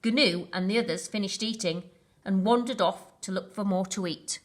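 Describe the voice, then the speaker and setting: A woman reading a children's bedtime story aloud in an expressive, deliberate storytelling manner. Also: medium pitch. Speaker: school storyteller.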